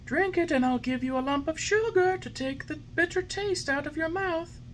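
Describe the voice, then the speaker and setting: A woman voicing a gentle, patient, motherly fairy in a soft, high voice. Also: normal speed, slight background noise, poor audio. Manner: soft, lilting, soothing.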